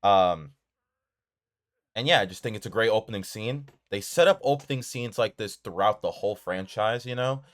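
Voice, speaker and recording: A man's voice: fairly low pitch, animated, normal speed, a bit bright. A man giving casual, enthusiastic commentary on films in a rambling, informal way. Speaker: video creator reviewing horror films.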